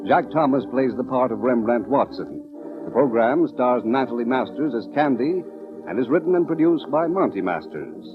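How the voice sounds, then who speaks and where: A man reading scripted credits as a 1940s radio announcer, formal and measured. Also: mid-range voice, lively intonation. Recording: dull vintage radio recording, loud organ behind. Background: organ music.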